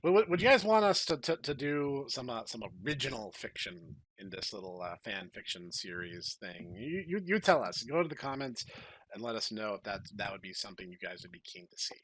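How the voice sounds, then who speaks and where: A man's voice: on the low side, very animated, a little fast, a bit bright, breathy edge. A man hosting a podcast, talking in a rambling, casual way and reading stories aloud. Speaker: podcast host and story narrator. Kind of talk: casual chat and dramatic reading.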